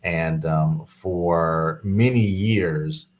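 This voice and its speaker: Deep-voiced man explaining business. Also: extremely slow. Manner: affirming, explanatory with fillers. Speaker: business executive guest. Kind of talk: casual interview chat.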